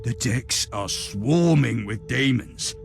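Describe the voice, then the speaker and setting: Commanding, animated male inquisitor. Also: medium pitch, creaky, faint music behind, heavily acted. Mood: defiant, negotiating. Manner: formal, authoritative, measured. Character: commanding, calculating male inquisitor of a grim sci-fi empire. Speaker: game character. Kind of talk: dramatic video game cutscene acting.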